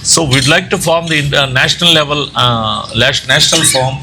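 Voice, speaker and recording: A man with an Indian Telugu accent giving an informal slide presentation, speaking haltingly with repeated words and self-corrections. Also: mid-range voice, vocal fry. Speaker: presenter, amateur radio operator and trainer. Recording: echoey room with background noise.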